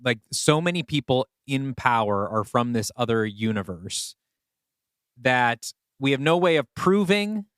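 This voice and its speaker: A man's voice: mid-range voice, animated, unhurried, fairly bright tone, clear, resonant tone, no room sound, clean studio sound. A man co-hosting a podcast, working through ideas in a casual, conversational way. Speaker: podcast co-host and writer.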